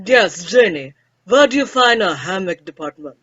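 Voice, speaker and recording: A woman's voice: mid-range voice, animated, moderate pace, fairly bright tone, slightly rough, no echo. A woman playing a muffled, teasing old professor. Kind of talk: old-time radio comedy acting.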